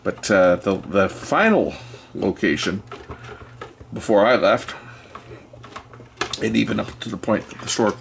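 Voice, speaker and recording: A middle-aged or older man giving casual, unscripted repair commentary, rambling and halting as he thinks aloud. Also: lowish voice, creaky, slight background noise. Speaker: electronics repair video host.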